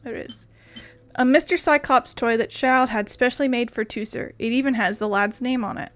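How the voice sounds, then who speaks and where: A woman's voice: fairly high pitch, normal speed, fairly bright tone, soft background music. A woman playing through a video game in a playful, casual way, commenting and reading text aloud. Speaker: gaming video host.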